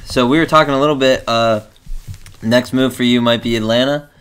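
A male host with an average-pitched voice asks questions in an easygoing manner. He affirms often and keeps the talk friendly and informal. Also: steady tone, a little fast, background noise. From rural area. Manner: friendly, affirming, says "bro" and "you know what I mean". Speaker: interviewer, host. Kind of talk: casual interview chat.

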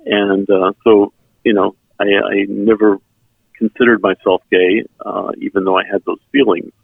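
A middle-aged man from South Texas whose first language is German, telling his personal story in an earnest, halting way as an interview guest. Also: on the low side, little pitch movement, vocal fry, slightly rough, no room sound. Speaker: interview guest, member services volunteer. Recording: tinny phone-like sound, band-limited.

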